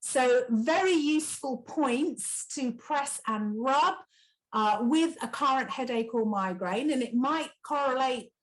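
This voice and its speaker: Middle-aged woman, slightly high pitch, easygoing instructor demonstrating exercises on camera. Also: animated, slow, rough recording. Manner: chatty, encouraging, many fillers like "you know". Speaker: kinesiology teacher.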